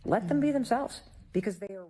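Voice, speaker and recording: A woman's voice: on the high side, expressive, brisk, warm tone, slightly rough. A woman giving advice in an emphatic way. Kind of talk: advice talk.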